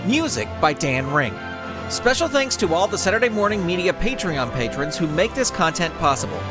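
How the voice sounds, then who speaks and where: A man's voice: sits high, sing-song, moderate pace, over music. A man narrating a scripted story as an audiobook reader. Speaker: narrator and author.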